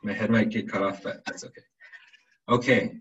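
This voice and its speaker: A soft-spoken man giving an online lecture, hesitant and often repeating his words, who checks in with "right?". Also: on the low side, steady tone, normal speed. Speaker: webinar presenter. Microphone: computer microphone on a video call. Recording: muffled video call audio.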